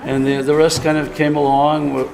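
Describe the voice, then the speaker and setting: A man's voice: on the high side, flat delivery, normal speed, vocal fry, rough recording. A man who owns the winery, chatting at a dinner table about its history, easygoing and self-deprecating. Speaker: winery owner. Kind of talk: casual dinner talk, telling the winery's story. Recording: music playing behind the voice.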